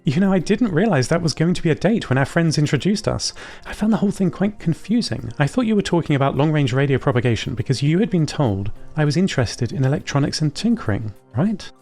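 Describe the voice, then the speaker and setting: A man voicing an exuberant, chatty tinkerer woman in audio fiction, gushing and excitable. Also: a little high, fast talker, soft background music, voice pushed up. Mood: excited, relieved. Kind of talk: audio fiction character dialogue.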